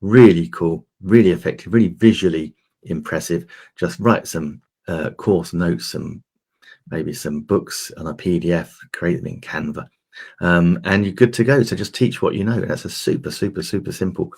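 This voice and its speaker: A man hosting a livestream, chatting loosely through a software and gear demo with lots of fillers, his voice a little croaky. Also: low voice, fairly even intonation, normal speed. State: slightly croaky voice. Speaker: host demonstrating streaming gear. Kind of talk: casual tech demo talk. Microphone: desk microphone.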